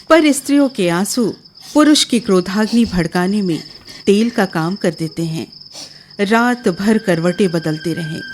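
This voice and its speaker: A woman's voice: medium pitch, a little hiss or hum. A woman reading scripted literary narration for a Hindi radio drama.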